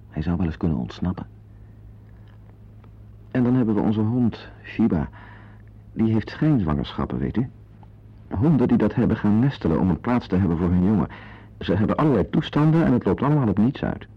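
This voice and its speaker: An actor playing a self-important, opinionated husband in a radio drama, confiding in listeners in a wordy way and hesitant with strangers. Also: male voice, low-pitched, husky, full character voice. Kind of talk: radio drama acting with narrated asides. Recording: dull, lo-fi old radio play recording.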